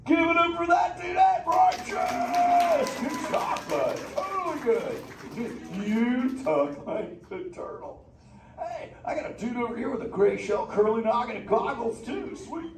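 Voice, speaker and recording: A man's voice: very high voice, very animated, measured pace, over loud music, heavily acted. A man voicing a laid-back male surfer sea turtle who drawls slang and teases the audience in a live show. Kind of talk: live interactive theater show. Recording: echoey theater from far off, noisy.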